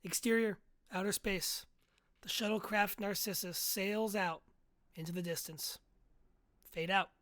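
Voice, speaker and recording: A woman's voice: medium pitch, measured pace, long pauses, fairly bright tone, no room sound. A woman reading screenplay stage directions aloud as dramatic narration at a table read.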